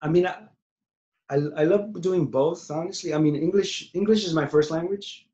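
A man's voice: fairly high pitch, moderate pace. Guy in his 40s talking over a muffled video call, keeps restarting sentences and trailing off. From New York City. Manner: rambling, many restarts, trailing off quietly. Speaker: singer-songwriter guest. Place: small room at home, indoors. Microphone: webcam on video call. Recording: dull lo-fi video call audio.

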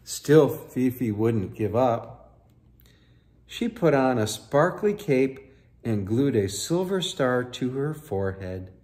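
Older guy in his 60s reading a kids book, slow and lively. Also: mid-range voice. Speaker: storyteller for children. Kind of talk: read-aloud picture book narration. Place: living room.

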